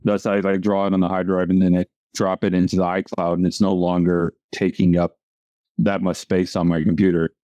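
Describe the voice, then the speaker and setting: A man, a comic artist, speaking casually in a halting way, stumbling and repeating words. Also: sits low, level tone, warm tone, no echo. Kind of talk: casual chat over a video call.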